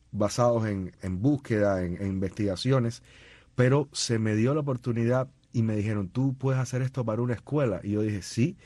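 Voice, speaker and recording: A man's voice: fairly low pitch, dark tone, no echo. A man being interviewed about his music and school shows, telling long stories with frequent restarts and fillers. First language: not Spanish? no. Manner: storytelling with many restarts and fillers. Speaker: musician guest. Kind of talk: conversational interview.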